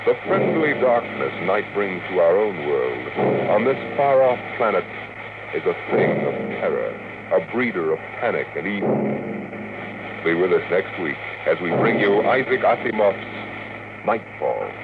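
A man's voice: low voice, big pitch swings, measured pace. A man hosting a radio show with dramatic 1950s scripted narration. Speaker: radio show host and announcer. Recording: very noisy, tinny old radio recording.

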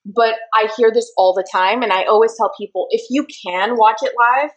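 Here is a chatty woman hosting a live web talk show. Her voice sits a little high, she giggles easily and wanders between thoughts, and the room around her is echoey. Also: normal speed, lo-fi sound. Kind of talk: casual live-stream chat.

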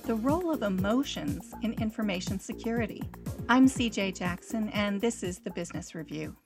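A woman's voice: mid-range voice, animated, normal speed, over music. A woman presenting a scripted radio business segment with a polished delivery.

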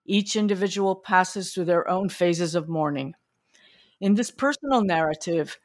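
Woman podcast host, lowish voice, reads intro kinda carefully. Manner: reads the introduction carefully, looser with fillers when asking. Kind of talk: scripted intro, then interview questions.

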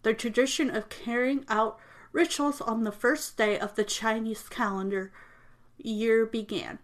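A woman's voice: a little high, fairly even intonation, a little slow. A woman whose first language is not English, reading an informational text aloud with frequent stumbles and self-corrections. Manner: apologetic about mispronouncing names. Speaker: reader presenting a text.

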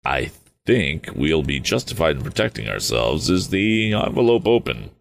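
A man voicing a cautious older inventor who is a father, speaking in a measured, deliberate way. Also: extremely low pitch, very animated, vocal fry, a little hiss or hum, full character voice. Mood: grave.

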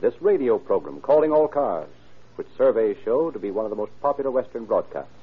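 A man's voice: medium pitch, lively intonation, brisk, slightly rough, narrow, tinny audio. A man announcing and narrating in formal, emphatic 1930s radio sales style. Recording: band-limited old radio broadcast.

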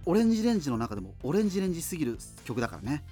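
An actor playing a chatty, self-deprecating radio host who muses casually through listener letters, with music behind him. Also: male voice, a little high, very animated, racing, mellow. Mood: musing, conversational. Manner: rambling, colloquial, musing asides.

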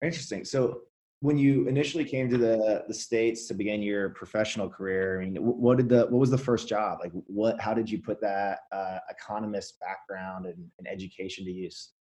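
A man's voice: on the low side, fairly even intonation, fairly quick, mellow. A man hosting a podcast interview, upbeat and eager, chatting casually with frequent fillers and restarts. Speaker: podcast host.

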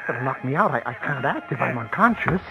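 A raving prisoner feigning madness. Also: male voice, mid-range voice, sing-song, a little fast, creaky, highs cut off, loud music behind the voice. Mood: dazed, murmuring. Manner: theatrical, swings between sly murmurs and frantic shouting. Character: scheming male prisoner faking guilt-crazed madness. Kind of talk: 1940s radio acting.

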